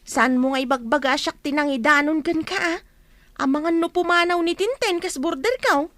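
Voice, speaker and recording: An actress plays a busybody village woman with an Ilocano accent. Her voice is high and dryly recorded, full of prying questions and teasing exclamations, as in a comic radio play. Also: normal speed, a bit bright, no echo. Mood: gossipy. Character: nosy gossiping village woman, an older neighbour. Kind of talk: Ilocano radio comedy drama acting.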